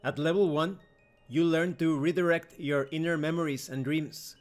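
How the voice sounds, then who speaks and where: A man's voice: a little high, steady tone, moderate pace, soft background music. A man hosting a review video, narrating from a script in an explanatory style. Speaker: video host, game reviewer.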